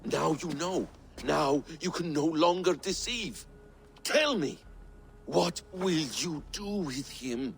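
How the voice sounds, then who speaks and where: A man playing a bitter, vengeful trickster god in a video game, accusing and deliberate in his delivery. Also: on the high side, creaky, slight background noise. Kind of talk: video game cutscene dramatic acting.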